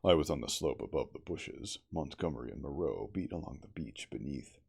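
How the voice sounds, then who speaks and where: An extremely deep-voiced man reads a story aloud in a dramatic ASMR style. His voice is husky and crackles with fry, and his deliberate phrasing rises and falls expressively. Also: expressive, darkish tone. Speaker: audiobook reader.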